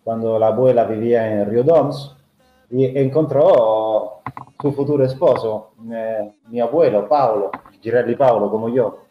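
A middle-aged man speaks Spanish with an Italian accent, his first language being Italian. He tells his story slowly and thoughtfully, pausing often on filler sounds. The recording sounds roomy and a little muffled, at an even, average pitch. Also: slight background noise. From Italy. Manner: hesitant, reflective, many filler sounds. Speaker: guest. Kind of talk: personal storytelling in an interview.

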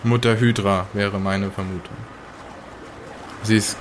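Man playing halting, sardonic horror survivor, noisy recording. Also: lowish voice, flat delivery, close to an everyday voice. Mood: matter-of-fact. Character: shaken, sardonic male survivor of occult horrors. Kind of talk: improvised in-character retelling for an audio drama.

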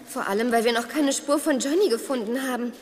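A woman playing a bright, knowing young girl toy figure in a German children's audio drama. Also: a little high, brisk, slight background noise, close to an everyday voice. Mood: confident, helpful.

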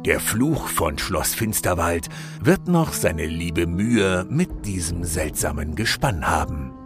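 A man's voice: deep voice, animated, full character voice. A man playing an omniscient, solemn and wryly ominous narrator of a spooky fairy-tale audio drama. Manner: theatrical, deliberate, tongue-in-cheek. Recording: music under the voice. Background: music.

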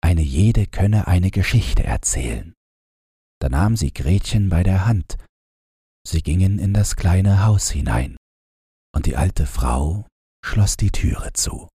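A man narrating a bedtime fairy tale as an audiobook reader, calm and unhurried, with a low, husky voice. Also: no echo, studio quality. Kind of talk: scripted fairy-tale narration for falling asleep.

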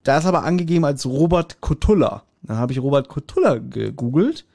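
A man's voice: a little high, big pitch swings, brisk, vocal fry, heavy background noise. A man co-hosting a casual review podcast about audio dramas. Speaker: podcast co-host.

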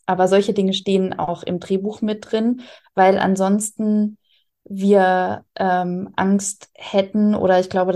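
Woman, soft mellow tone, interviewed author, explanatory. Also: mid-range voice. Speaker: interviewed radio drama author. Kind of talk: interview, explaining writing craft.

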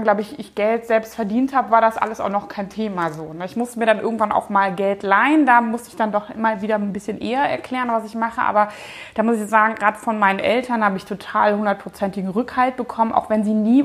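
A woman's voice: mid-range voice, steady tone, fast talker. A woman, giving casual, informal interview answers, often hedging and thinking aloud as she looks back. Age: 30s. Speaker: company founder and managing director, interview guest.

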